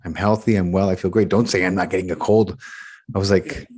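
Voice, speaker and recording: Male podcast host, notably low voice, relaxed casual interview chat, quick to agree. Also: husky.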